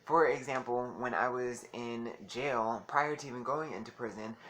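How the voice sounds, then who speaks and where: A soft-voiced man vlogging in a chatty, giggly way, calling listeners honey. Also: mid-range voice, fairly even intonation, normal speed, a little hiss or hum, rough recording. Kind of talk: casual talk to camera.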